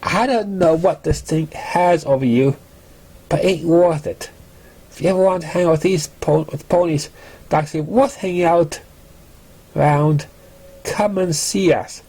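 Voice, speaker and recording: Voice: mid-range voice, unhurried, mellow, husky, voice pushed up. A narrator voicing a brash, protective young pony who threatens and insults cockily in a storybook reading. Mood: cocky scorn.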